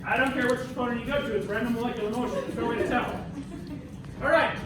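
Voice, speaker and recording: High-pitched American male instructor, echoey classroom. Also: fast talker. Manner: informal, theatrical, question-and-answer delivery. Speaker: university physiology instructor. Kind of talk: animated classroom lecture with questions and directions. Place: indoor university classroom during a live demonstration. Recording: echoey room, far mic, noisy.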